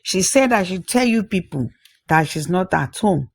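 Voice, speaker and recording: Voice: medium pitch, expressive, normal speed, creaky, slightly rough, dry recording. A storyteller voicing a small, candid, proud village boy in a folktale, with frank and earnest child talk.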